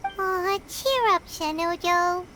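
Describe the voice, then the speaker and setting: A woman voicing a small, girlish fairy companion in a video game, squeaky and childlike, blunt and excitable. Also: takes it slowly, a little hiss or hum, exaggerated voice. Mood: encouraging. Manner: blunt, excitable, speaks of herself by name. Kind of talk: video game character acting. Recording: music playing behind the voice.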